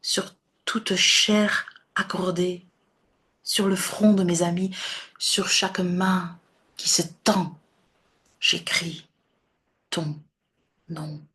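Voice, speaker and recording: A woman solemnly reciting poetry in a measured, deliberate way with long pauses, her voice breathy and often falling to a near whisper. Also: lowish voice, steady tone, bright, forceful tone.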